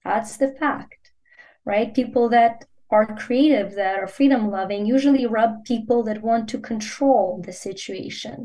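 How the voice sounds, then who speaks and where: A woman's voice: on the high side, unhurried, dark tone, low-quality recording. A woman from Ukraine, a native Ukrainian speaker, talking earnestly and emphatically as a guest in a podcast interview, with a coaching tone.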